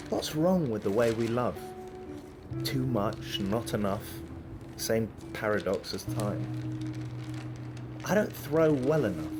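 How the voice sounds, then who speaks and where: A man reading a spoken word poem in a slow, deliberate recital. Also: medium pitch. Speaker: poetry reader. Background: soft guitar music.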